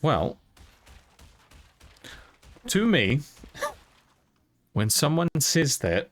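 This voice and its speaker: A man's voice: fairly high pitch, big pitch swings, drawn-out words, fairly bright tone. A young man telling a rambling personal story casually while gaming, candid and informal with some casual swearing. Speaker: YouTube content creator.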